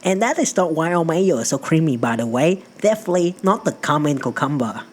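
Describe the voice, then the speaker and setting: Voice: mid-range voice, expressive, a little fast, faint music behind. A narrator from Perth, a native Vietnamese speaker who works in healthcare, telling a funny personal story in a playful, self-mocking way. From Perth, Australia. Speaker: healthcare worker.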